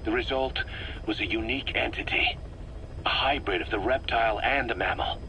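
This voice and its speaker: A man's voice: mid-range voice, moderate pace, sharp tone, rough recording. A man playing a stern, distrustful mercenary officer in a video game, giving briefings in a clipped, authoritative way. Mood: grave briefing. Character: stern, distrustful male mercenary second-in-command briefing his commander. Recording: faint background noise on cassette recording.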